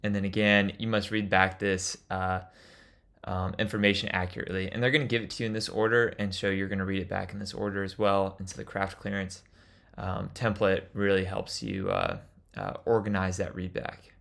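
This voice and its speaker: A man's voice: low voice, brisk, gravelly, fairly bright tone. A man giving an instructional lecture as a flight instructor, explaining things in an informal way.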